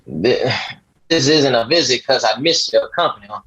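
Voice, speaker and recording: A man's voice: mid-range voice, animated, moderate pace, a bit bright, slightly rough, muffled recording. A man playing a blunt, exasperated younger nephew in an acted script reading, with a dry manner. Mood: serious. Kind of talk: acted table read.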